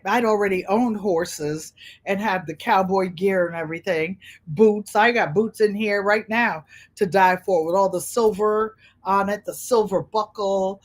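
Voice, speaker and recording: A middle-aged woman from Connecticut with a Connecticut accent, a quilting channel host who chats frankly and rambles while sewing. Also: mid-range voice. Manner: rambling, frank, talks to viewers by name. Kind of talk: chatty livestream talk while sewing.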